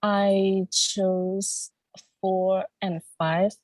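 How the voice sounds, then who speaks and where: Slow, careful young Thai woman. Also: mid-range voice, no room sound. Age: young adult. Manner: calm, careful, formal wording. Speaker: university student. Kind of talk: mock job interview answers.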